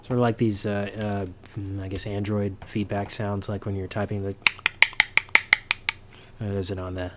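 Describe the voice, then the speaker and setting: Low-voiced man giving a halting tutorial. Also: level tone, heavy background noise. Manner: halting, thinking aloud. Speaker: sound designer giving a tutorial. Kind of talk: informal unscripted tutorial.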